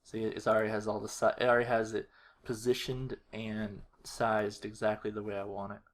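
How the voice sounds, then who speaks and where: A man giving a casual screen-recorded walkthrough, thinking aloud in a low-key, halting mutter. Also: flat delivery. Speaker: video creator. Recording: faint noise with keyboard clicks. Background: computer keyboard typing and mouse clicks.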